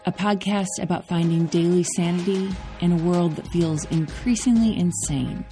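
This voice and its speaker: A woman hosting a podcast, giving a scripted introduction in a measured delivery. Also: lowish voice, dark tone, soft background music. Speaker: podcast host.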